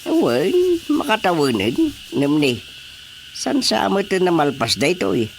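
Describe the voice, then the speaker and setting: A man's voice: a little high, sing-song, normal speed, vocal fry, slight background noise. A man with a Filipino accent acting a chatty, friendly fellow in a radio drama. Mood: eager.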